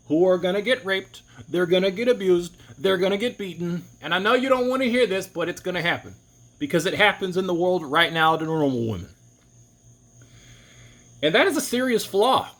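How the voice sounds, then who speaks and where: A man giving an opinionated, rambling monologue to camera, often restarting his sentences. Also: a bit bright. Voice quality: deep voice. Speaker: vlogger.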